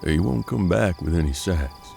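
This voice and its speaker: A man acts as a seasoned western detective and mentor. His voice is low and gravelly with a dark, mellow tone, and he speaks in a measured, folksy, world-weary way over faint music. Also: expressive. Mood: grave, watchful. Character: seasoned, gruff frontier detective and bounty hunter, mentor. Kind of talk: western audio drama acting.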